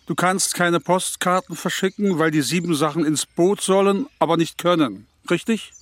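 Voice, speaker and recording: A man's voice: sits high, moderate pace, a bit bright, no room sound. A man playing Good Advice come to life, a pompous, touchy, ponderous figure in a children's radio play. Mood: pondering. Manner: ponderous, pedantic, riddling.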